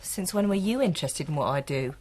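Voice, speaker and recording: Bored, suspicious wife, British accent, slightly low pitch, clipped sardonic replies, radio play. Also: female voice, lively intonation, brisk, a little mellow. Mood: flat, sardonic. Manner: terse, sardonic. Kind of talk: naturalistic radio drama acting.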